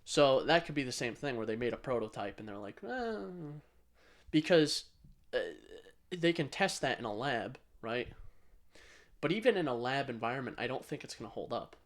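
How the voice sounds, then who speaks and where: A man's voice: mid-range voice, animated, moderate pace. A man co-hosting a podcast in a casual, rambling, blunt way with some swearing. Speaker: podcast co-host. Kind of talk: casual tech chat.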